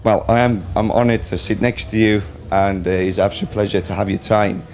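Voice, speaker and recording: Lower-pitched male interviewer, polite and halting, live from a trade fair stand. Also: steady tone, darkish tone, slight background noise. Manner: halting, effusively polite, loose phrasing. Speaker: interviewer for a brand's web TV.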